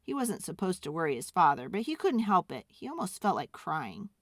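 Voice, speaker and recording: Children's read-aloud narrator: woman, highly varied intonation, expressive and deliberate, dry close recording. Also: no room sound. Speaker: storyteller.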